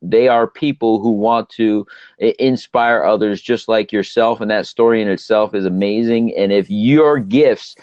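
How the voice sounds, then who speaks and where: A man's voice: on the low side, unhurried, darkish tone, dry recording. Enthusiastic male host, dull dry sound. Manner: enthusiastic, encouraging, talks to his audience. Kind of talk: live online talk show.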